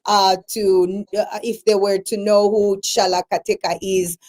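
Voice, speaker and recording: A woman's voice: medium pitch, flat delivery, measured pace, darkish tone. Hesitant female radio interviewer. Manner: hesitant, many fillers. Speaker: radio program host. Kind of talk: interview hosting.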